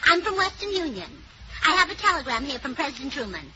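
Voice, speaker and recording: A woman's voice: high-pitched, very animated, fast talker. A woman playing a scatterbrained wife in a 1940s radio comedy. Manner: scatterbrained, ditzy. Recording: dull lo-fi old radio recording with clear hiss.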